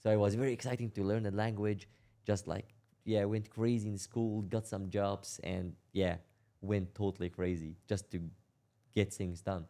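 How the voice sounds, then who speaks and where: A young man from Syria telling his life story in a casual interview, speaking in a halting way, repeating words and laughing as he talks. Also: on the low side, flat delivery, dark tone. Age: young adult. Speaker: interview guest.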